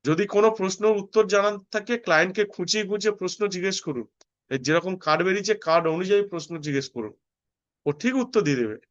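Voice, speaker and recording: A man's voice: high voice, a bit bright. A man teaching a course, emphatic, repeating phrases and often checking that students follow. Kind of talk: online lesson with worked examples. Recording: dull lo-fi sound.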